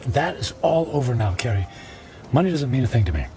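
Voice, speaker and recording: An actor playing a remorseful middle-aged businessman in a radio drama, pleading with his ex-wife in a halting, stammering, earnest way. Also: mid-range voice, big pitch swings, dark tone. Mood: sincere. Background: dramatic background music.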